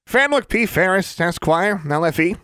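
Man playing a tiny wizard mechanic, squeaky high voice, chirpy, folksy, dry close sound. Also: expressive, normal speed, pushed and bright, smooth, dry recording. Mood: chipper.

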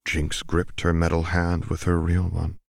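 A man's voice: deep voice, monotone, measured pace, creaky, no echo, studio quality. A man reading scripted fiction as a dramatic audiobook narrator.

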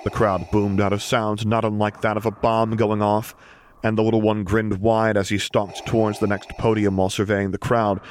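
A man's voice: lowish voice, flat delivery, normal speed, slight background noise. A man narrating a fiction audiobook from a script.

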